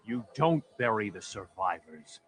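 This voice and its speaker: An actor playing a stern masked vigilante hero in a superhero audio drama, curt and deadpan. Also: male voice, fairly low pitch, a little slow. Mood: curt, unimpressed.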